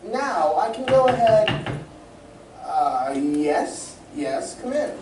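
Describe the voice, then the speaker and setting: A woman's voice: medium pitch, very animated. A woman playing a composed, soothing great scientist in an old-time radio serial. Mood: hypnotic, absorbed. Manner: soothing, unhurried, reassuring. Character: Doctor Huer, composed great scientist of the future. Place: community theatre stage. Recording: roomy stage, distant mic, faint noise.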